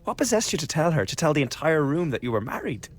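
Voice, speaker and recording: An actor playing a glib, scheming young revolutionary in a radio drama, chatty and insinuating with a blackly flippant manner. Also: male voice, on the high side, big pitch swings, quick pace. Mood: needling, gossipy. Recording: studio radio drama with background ambience.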